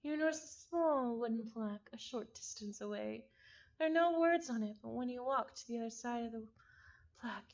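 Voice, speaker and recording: A woman's voice: a little high, lo-fi sound. A woman reading a visual novel aloud in a playful, theatrical manner, breaking off into chatty asides. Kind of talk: visual novel read-along with casual reactions.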